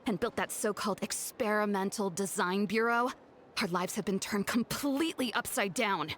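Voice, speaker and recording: A woman's voice: medium pitch, moderate pace, slight background noise, lightly acted. A woman playing an indignant, earnest young devotee in a video game, speaking emphatically. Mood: exasperated. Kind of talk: video game character acting.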